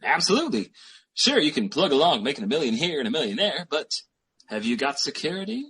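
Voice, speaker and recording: A man playing a pushy, scheming boyfriend with big schemes and a husky voice in an old-time radio comedy. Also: fairly high pitch, very animated, a bit bright, heavily acted. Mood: cocky, persuasive. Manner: pushy, fast-talking salesman patter. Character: brash, scheming small-time hustler boyfriend.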